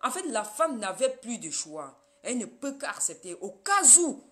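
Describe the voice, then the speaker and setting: A woman's voice: a little high, big pitch swings, moderate pace, a bit bright. A woman from Cameroon, a Yemba speaker, hosting a casual live stream and talking straight to her viewers.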